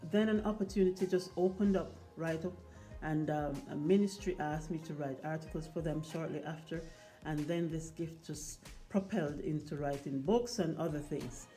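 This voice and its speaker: A woman's voice: sits low, moderate pace, dark tone, soft background music. A woman with a big, projecting voice who talks about faith in an emphatic, sermon-like cadence. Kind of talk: faith-based conversation, preaching tone.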